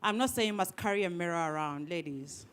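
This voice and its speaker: A woman leading a workshop session, lively and teasing, often repeating people's words back to them, speaking into a microphone to an audience. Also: on the low side, fairly quick, a little hiss or hum. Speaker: workshop trainer. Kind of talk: interactive training session. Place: training room with an audience.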